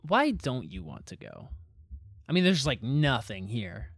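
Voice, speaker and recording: An actor playing an earnest, patient best friend in an audio drama, talking in a casual, halting, coaxing way. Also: male voice, mid-range voice, wildly swooping pitch, gravelly, no room sound, studio quality, exaggerated voice. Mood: gentle, probing. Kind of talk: naturalistic audio drama acting.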